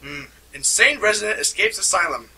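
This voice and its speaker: A man doing a raspy grumpy cartoon dad. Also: high-pitched, animated, a little fast, bright, forceful tone, exaggerated voice, low-quality recording. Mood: grumbling. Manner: sarcastic grumbling, then sudden alarm. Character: grumpy, jumpy middle-aged cartoon dad.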